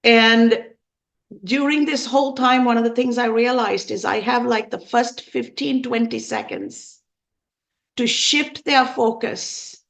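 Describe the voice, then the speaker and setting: A woman in her fifties from Sri Lanka, telling her personal story as an interview guest in an earnest, emphatic, deliberate way. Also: on the high side, lively intonation, gravelly. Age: 50s. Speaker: podcast guest.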